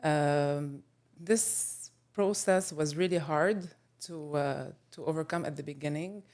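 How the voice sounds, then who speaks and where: Low-voiced Lebanese-born woman, unhurried. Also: a little mellow. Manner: thoughtful, with frequent pauses and fillers. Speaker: guest photographer. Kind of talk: casual interview conversation.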